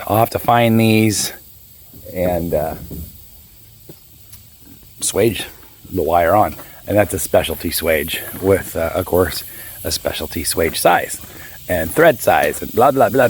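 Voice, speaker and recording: Man in his 50s, raspy hoarse voice, lowish pitch, chatty rambling, faint hiss behind. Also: a little mellow. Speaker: boat owner vlogger. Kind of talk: casual vlog narration.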